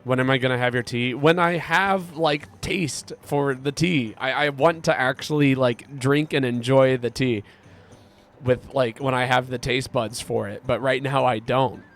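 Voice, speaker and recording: A male game streamer with a sick voice, gravelly and deep from congestion. He rambles casually, reading out and answering viewer messages. Faint game music plays underneath his mid-pitched voice. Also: fairly even intonation, measured pace, a bit bright. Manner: rambling, reads chat aloud and answers it. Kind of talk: casual live-stream chat while gaming.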